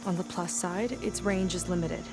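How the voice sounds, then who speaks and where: An actress playing a determined young petty officer in a video game, proud and frustrated, speaking earnestly and plainly. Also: female voice, on the low side, normal speed, over music, natural voice. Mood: serious, focused. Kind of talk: video game cutscene acting.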